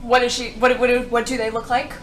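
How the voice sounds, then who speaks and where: A woman with a high voice chats casually during a tabletop game. The room around her is noticeably echoey, giving her words a reverberant sound. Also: level tone, fast, soft background music.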